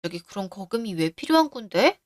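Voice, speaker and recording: A woman voicing a selfish, sharp-tongued younger sister and young mother in a narrated radio story. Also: medium pitch, big pitch swings, quick pace, no echo, studio quality, pitched below the performer's own voice, lightly acted. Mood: prying.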